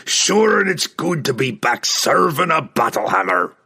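Loud, rowdy, burly warrior. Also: male voice, fairly high pitch, huge pitch swings, normal speed, creaky, slightly rough, pitched above the performer's own voice, heavily acted. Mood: rowdy glee. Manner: loud, blustering, rustic speech. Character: rowdy, burly, battle-hungry male fantasy warrior.

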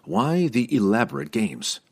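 A man voicing a principled, defiant dark elf warrior in a dry, formal manner for a fantasy audiobook. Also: medium pitch, sing-song, unhurried, gravelly, no echo, pitched above the performer's own voice, exaggerated voice. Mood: grave defiance.

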